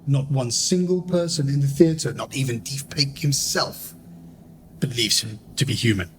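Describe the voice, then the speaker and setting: A man's voice: mid-range voice, lively intonation, moderate pace, slight background noise, close to an everyday voice. A man playing a grandiose theatre host and poet in a radio play, pompous and declamatory. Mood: dramatic.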